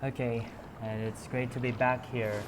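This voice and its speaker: A male game streamer chats through his session at a slow, unhurried pace, stopping often on fillers. His voice sits at an ordinary middle pitch. He sounds apologetic and a little halting as he talks to viewers. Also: little pitch movement, background noise. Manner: hesitant, many fillers, apologetic. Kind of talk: casual stream commentary.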